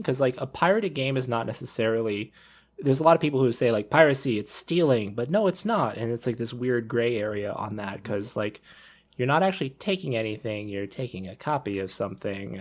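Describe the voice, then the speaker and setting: Rambling thirty-year-old man, casual podcast talk. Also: mid-range voice. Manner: rambling, repeats and rephrases himself. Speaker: podcast panelist. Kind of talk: casual panel discussion.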